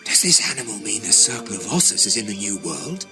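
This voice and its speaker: An actor playing a gruff, greedy soldier sidekick in a video game cutscene, with an earthy, blunt manner. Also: male voice, on the low side, sing-song, fairly quick, over music. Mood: uneasy.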